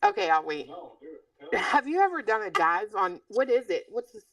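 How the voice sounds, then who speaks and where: A woman's voice: medium pitch, big pitch swings, normal speed, a bit bright, recorded from a distance, poor audio. A woman on a group stream, chatting casually with blunt, salty banter. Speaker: stream panelist.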